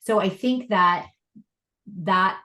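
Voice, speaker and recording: A woman's voice: mid-range voice, slow, pushed and bright. Rambling woman professor, roomy echo. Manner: rambling, many fillers and restarts. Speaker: professor on a panel. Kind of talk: casual panel discussion.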